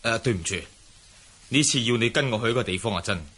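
A man's voice: medium pitch, lively intonation, fast, pushed and bright, husky. A man playing a polite, mysterious young horseman in a Cantonese martial-arts radio drama, speaking in a courteous, coaxing way.

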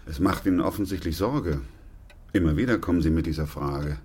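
An actor playing a composed, middle-aged doctor who narrates a plague chronicle in a radio drama, calm and sober. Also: male voice, low voice, brisk, husky. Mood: measured.

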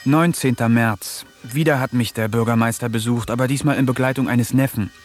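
A man's voice: mid-range voice, steady tone, brisk, soft background music, close to an everyday voice. An actor playing an eccentric young poet who narrates his diary in a dry, ironic manner in a radio play. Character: eccentric young male poet, wants to talk with animals.

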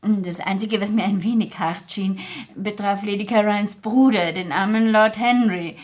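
An actress as a chatty, forgetful society hostess. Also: female voice, mid-range voice, steady tone, normal speed, lightly acted. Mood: gracious, amused. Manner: rambling, gracious, chuckling, muddles her facts. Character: chatty, forgetful, amused aristocratic society hostess, older lady. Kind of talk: 1960s German radio play acting.

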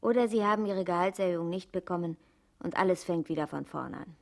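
An actress playing a coolly logical narrator in a radio play, setting out statements in a crisp, schematic manner. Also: female voice, on the low side, quick pace. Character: coolly logical female narrator weighing either-or outcomes.

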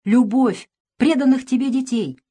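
A woman's voice: mid-range voice, lively intonation, normal speed, natural voice. Woman playing an anguished, hot-tempered nobleman son. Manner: impassioned, shouted accusations.

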